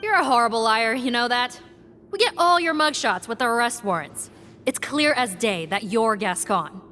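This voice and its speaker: A brisk female guard with a mid-pitched, very animated voice and a blunt, confident edge. Faint game music plays behind her. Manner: blunt, confident, lively delivery. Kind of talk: video game voice acting.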